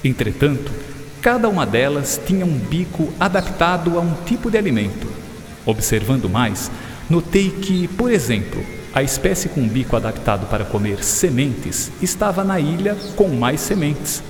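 An actor playing a patient, earnest English gentleman naturalist who explains things and drops in English interjections, in an educational radio drama. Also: a little high, very animated, normal speed. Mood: earnest, explanatory. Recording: reverberant hall sound with music underneath.

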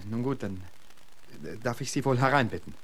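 An actor playing a witty, urbane gentleman poet in a radio drama, elaborately polite, wry and eloquent. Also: mid-range voice, moderate pace, lightly acted. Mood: languid, polite.

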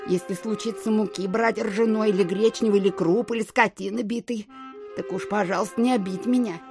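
An actress as a creaky, fretful old widow landowner. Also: female voice, mid-range voice, steady tone, fairly quick, music in the background, close to an everyday voice. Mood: coaxing. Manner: folksy, hesitant, fretful. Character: superstitious, dim, stubborn old widow landowner. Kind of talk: audio drama acting of classic literature.

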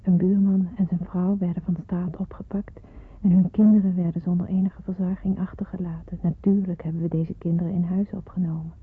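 A woman playing a fearful girl in hiding, telling her story solemnly in a breathy, hushed radio drama voice. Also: on the low side, flat delivery, a little fast. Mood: sombre, sorrowful. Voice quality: breathy, husky. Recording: muffled narrow-band radio recording.

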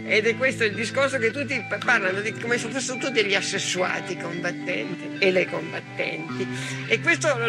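An older woman giving opinionated testimony in a frank, argumentative way. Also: mid-range voice. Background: loud music.

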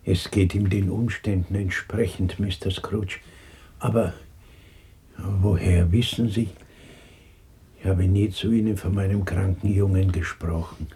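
An actor playing a timid, deferential clerk with a breathy, husky voice and a polite, apologetic manner in a 1960s German radio play. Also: male voice, low-pitched, fairly even intonation, unhurried, warm tone, exaggerated voice. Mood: humble. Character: timid, deferential clerk, humble family man.

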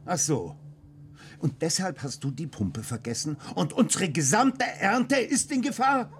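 A man's voice: a little high, sing-song, measured pace, husky, exaggerated voice. An actor playing a harsh, scolding farmer father in a radio drama. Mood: stern. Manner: stern, scolding.

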